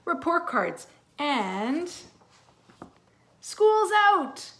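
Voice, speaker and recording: A woman voicing a stern male rabbit teacher, drawing out a slow, theatrical announcement in a storybook read-aloud. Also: fairly high pitch, voice pushed up, close to an everyday voice.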